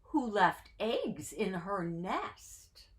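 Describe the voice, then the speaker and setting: A woman's voice: medium pitch, big pitch swings, extremely slow. Woman putting on a cheeky little runaway cracker man, singsong. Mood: taunting. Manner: singsong, taunting rhyme.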